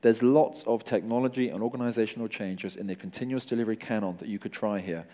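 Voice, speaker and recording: A man's voice: lowish voice, fairly even intonation, fast talker, dark tone. A middle-aged man giving a conversational conference talk on stage, wry and joking with the audience. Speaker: conference speaker.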